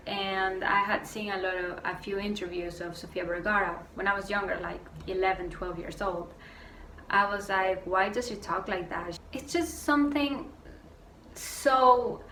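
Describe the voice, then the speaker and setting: A young woman from Venezuela, with a Venezuelan accent and Spanish as her first language, ranting casually to camera with lots of fillers. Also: medium pitch. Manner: quick, animated storytelling with many fillers. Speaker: vlogger. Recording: roomy echo, distant mic, faint noise.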